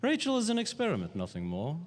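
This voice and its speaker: An urbane, commanding tycoon in his sixties for a 1940s radio play. The voice is rather high and swoops theatrically, formal and silky. It rings in a long hall reverb. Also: wildly swooping pitch, quick pace. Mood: smug, silky. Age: 60s. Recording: reverberant hall, faint noise, live recording.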